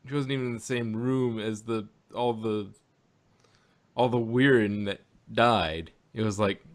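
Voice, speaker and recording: A man hosting a casual review podcast, talking in a halting way and circling back over his own phrases. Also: lowish voice, fairly even intonation. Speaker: podcast host reviewing audio drama. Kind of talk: casual chat about sci-fi.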